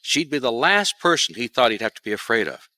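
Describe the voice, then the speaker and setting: A man's voice: mid-range voice, sing-song, brisk, sharp tone, no echo. A man acting a wry, shrewd private detective in a noir radio mystery, probing in a dry, colloquial way. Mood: musing. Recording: faint noise, live theatre stage.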